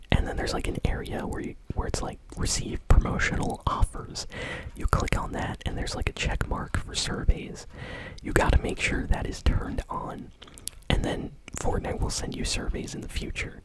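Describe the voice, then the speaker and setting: A woman speaks entirely in a soft, airy whisper. She hosts news in an ASMR style, explaining things in a casual and chatty way. Her delivery is unhurried and conversational. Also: low-quality recording. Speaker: gaming news host.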